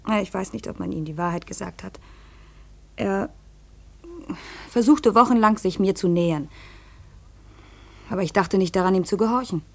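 Hesitant, troubled young widow. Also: female voice, medium pitch, normal speed, creaky, pauses often. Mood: sorrowful, confiding. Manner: hesitant, earnest, confessional. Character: troubled young widowed aunt, confiding and sorrowful. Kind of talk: 1950s German radio play acting.

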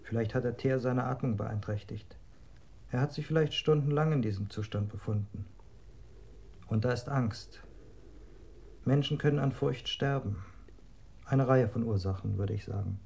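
An actor playing a matter-of-fact young hospital doctor in a radio crime drama, clinical and measured. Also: male voice, medium pitch, creaky, a little mellow, slightly rough. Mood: matter-of-fact, grave.

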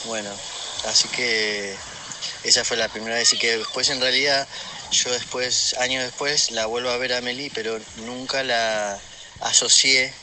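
A man's voice: medium pitch, level tone, moderate pace. An Argentine man telling a casual personal anecdote in a hesitant, colloquial way, as a voice message. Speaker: listener sending an audio message. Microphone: phone voice message. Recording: voice message with loud background noise.

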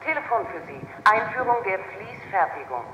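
Echoing high-pitched loudspeaker secretary. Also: male voice, big pitch swings, normal speed. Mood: officious. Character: brisk site office secretary on a loudspeaker. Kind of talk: radio play announcement. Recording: dull, echoing loudspeaker with long reverb, faint noise.

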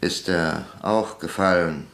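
Sceptical professor. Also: male voice, low voice, takes it slowly, creaky, fairly bright tone, exaggerated voice. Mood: probing. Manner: pointed, questioning, dry. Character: sharp, sceptical male professor and deputy. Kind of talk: German radio play acting.

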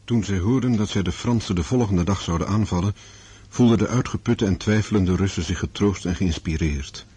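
A man narrating a war story in a radio drama, measured and sombre. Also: low voice, mellow, faint music behind, natural voice. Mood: sober. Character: sober storyteller of a war novel.

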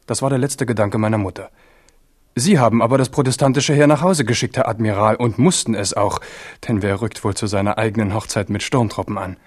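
An ardent young prince in a radio play. His voice is husky and fairly low, and his delivery is proud and impassioned. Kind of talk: German radio drama acting.

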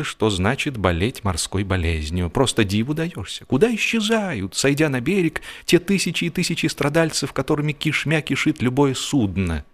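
A man narrating a humorous story expressively, in an ironic, mock-serious manner. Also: mid-range voice.

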